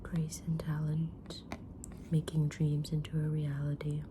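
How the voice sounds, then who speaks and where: A woman playing a kind, mysterious tarot-reading witch in a gentle, breathy whisper for an ASMR roleplay. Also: sits low, monotone, noisy background. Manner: gentle, deliberate, soft-spoken, drawn-out pauses.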